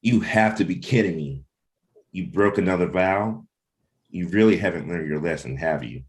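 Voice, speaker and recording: A man's voice: low-pitched, lively intonation, normal speed. A man reading a stern, scolding comic book character in an accusing manner at a table read. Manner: accusing, reproachful.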